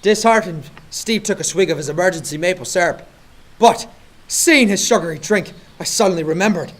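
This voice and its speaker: A man's voice: high-pitched, a little fast. A man playing an earnest, over-polite Canadian policeman who narrates a comic radio play in a mock-serious way. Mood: mock-serious narration.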